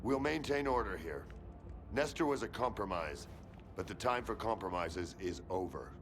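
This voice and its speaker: Brusque military colonel, noisy background. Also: male voice, fairly low pitch, lively intonation, normal speed. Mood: commanding, sardonic. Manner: brusque, commanding, profane, sarcastic. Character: gruff, short-tempered military colonel, commanding and sarcastic. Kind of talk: video game voice acting.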